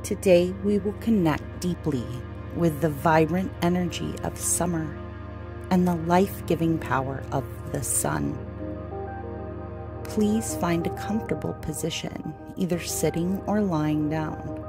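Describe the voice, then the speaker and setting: A low-voiced woman leads a guided meditation at a very unhurried pace. She speaks in short, soothing, deliberate phrases and leaves long pauses between them. Clearly audible music plays behind her voice.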